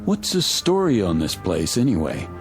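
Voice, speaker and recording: A man's voice: fairly low pitch, huge pitch swings, normal speed, faint music behind. A man playing a suspicious, irritable newspaper reporter stranded in a small town, curt and guarded, in an audio drama. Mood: irritated, defiant.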